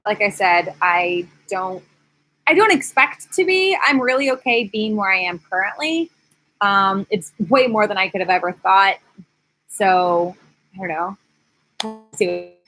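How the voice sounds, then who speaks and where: A woman in her thirties with a bright, forward voice at an ordinary pitch. She talks in a candid, rambling way, like a host casually answering viewers on a live stream from an outdoor porch. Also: very animated, measured pace, long pauses, poor audio. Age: 30s. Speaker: YouTube host, former teacher.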